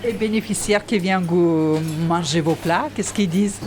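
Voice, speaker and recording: A woman's voice: fairly low pitch, normal speed, background noise. A woman interviewing volunteers on site for radio, hesitant and searching for words as she asks.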